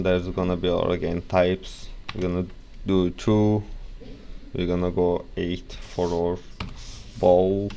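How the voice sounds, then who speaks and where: Young man, Turkish accent, deep and unhurried, rambling tutorial delivery, faint noise behind. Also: vocal fry, darkish tone. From Turkey. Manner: rambling, self-correcting, casual asides. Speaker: software tutorial presenter. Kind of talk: casual screen-recorded software tutorial.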